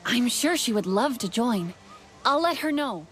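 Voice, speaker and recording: Earnest young alien heroine. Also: female voice, a little high, animated, unhurried, a bit bright. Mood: helpful. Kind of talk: video game dialogue acting.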